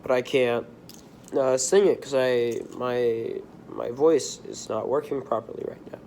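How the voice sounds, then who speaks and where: Slightly hoarse teen boy rambling. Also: medium pitch, a little slow, a little mellow, slight background noise, low-quality recording. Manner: rambling, drifting between topics, frequent restarts. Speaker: video creator. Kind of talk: casual rambling monologue.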